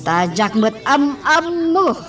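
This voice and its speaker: A woman's voice: a little high, big pitch swings, takes it slowly, fairly bright tone, recorded from a distance, faint music behind, exaggerated voice. An actress with a Filipino accent playing a concerned, questioning middle-aged mother in a radio drama.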